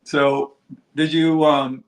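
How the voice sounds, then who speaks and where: Relaxed man introducing a guest. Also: on the high side, drawn-out words, rough recording. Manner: relaxed, hesitant with fillers. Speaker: video creator introducing a guest. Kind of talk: casual interview chat.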